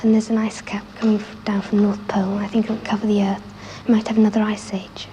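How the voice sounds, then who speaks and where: A young girl answers an interviewer softly. Her pitch stays even, and there is clear noise on the 1960s archival recording. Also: fast talker. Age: child or teenager. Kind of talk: soft-spoken interview answer.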